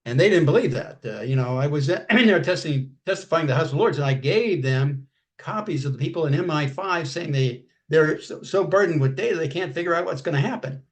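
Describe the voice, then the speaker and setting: An older man as an interview guest, talking casually in a halting way, repeating words and clearing his throat. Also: fairly high pitch, lively intonation.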